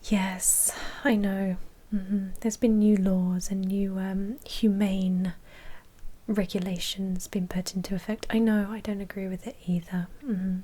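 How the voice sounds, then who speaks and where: A woman voicing a polite, obliging vampire blood bank manager in a soft, whispered ASMR roleplay, with courteous customer-service patter. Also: mid-range voice, flat delivery, a little slow, lightly acted. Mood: courteous, sympathetic.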